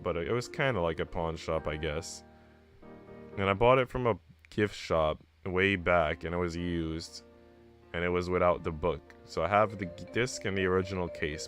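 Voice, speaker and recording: A man's voice: sits low, fairly bright tone. A man reading a visual novel aloud and chatting casually about games as a streamer. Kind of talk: casual read-aloud and game chat.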